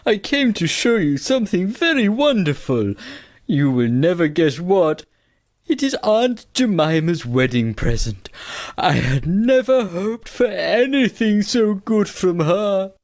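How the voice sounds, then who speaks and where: An actor playing an earnest, excitable male suitor in a comic parody play, fussy, exclamatory and melodramatic. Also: mid-range voice, a little slow, no room sound, studio quality. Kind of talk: comic stage-play acting.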